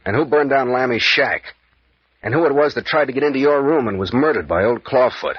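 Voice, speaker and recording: An American actor playing a bold, sardonic adventurer captain in an old-time radio serial, with needling, probing delivery. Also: male voice, mid-range voice, lively intonation, a little fast, breathy edge. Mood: musing. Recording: muffled, echoey vintage radio recording.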